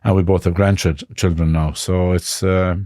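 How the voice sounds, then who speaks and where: Irish man in his fifties, very deep mellow voice, reflective guest, dry close sound. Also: level tone, no echo. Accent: Irish, Connemara. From Ireland. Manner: reflective, halting, with frequent restarts. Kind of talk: personal life story in conversation.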